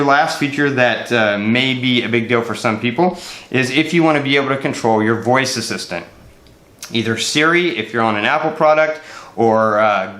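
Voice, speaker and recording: A man in his forties giving a casual, unscripted product comparison as a reviewer, explaining conversationally and haltingly, with repeated words. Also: mid-range voice, fairly bright tone. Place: medium furnished room indoors. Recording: roomy indoor echo.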